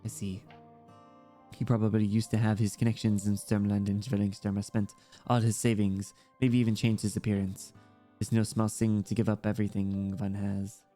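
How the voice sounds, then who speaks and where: A man reading the lines of a curious adult companion in a story game, measured and questioning. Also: fairly low pitch, mellow, natural voice. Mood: curious, thoughtful. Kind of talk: reading visual-novel dialogue aloud. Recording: music playing behind the voice.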